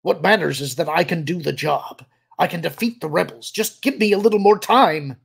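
Fanatical, vengeful military major, acted by a male narrator. Agitated, sneering, insistent, gravel in the throat, forceful and loud. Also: on the high side, moderate pace, voice pushed up. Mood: desperate defiance.

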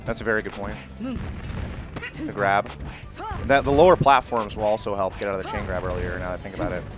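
Loud, excitable male esports commentator. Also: on the low side, a little fast, music in the background. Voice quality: naturally loud voice. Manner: excitable, exclaims over big plays. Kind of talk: live play-by-play commentary. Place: tournament venue.

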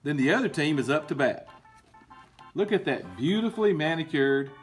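A middle-aged man reading a picture book aloud to children as a coach, explaining in a deliberate, emphatic, instructive way. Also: fairly high pitch, lively intonation, faint music behind. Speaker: baseball coach and PE teacher. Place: indoor school room with book shelves.

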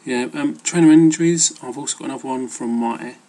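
A man's voice: mid-range voice, a little fast, mellow. A man giving casual, rambling advice as an amateur fitness vlogger, hesitant and loosely worded.

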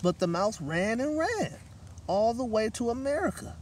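A middle-aged man reads to children as a guest storyteller, with dramatic phrasing. His voice is fairly high and very animated, recorded outdoors a short way from the mic. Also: normal speed. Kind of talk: children's picture-book read-aloud. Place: outdoor table in a leafy park. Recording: distant microphone, light outdoor noise.

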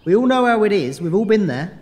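A man playing a protective, stubborn father in a sci-fi audio drama, speaking in an animated, colloquial way. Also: high-pitched, a little fast. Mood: wary. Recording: long reverb.

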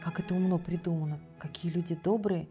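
A middle-aged actress reading a children's fairy tale aloud with theatrical expression, softly hushed in quiet passages. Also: female voice, lowish voice, fairly even intonation, fast talker, faint music behind. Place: cozy room with bookshelves, in an armchair.

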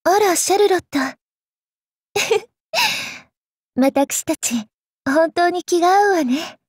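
A woman voicing an aloof, gloomy yet playful young royal lady in an anime game, refined and softly ironic. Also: very high voice, lively intonation, slow, no room sound, clean studio sound, full character voice. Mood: amused, ominous. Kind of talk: anime game character voice acting.

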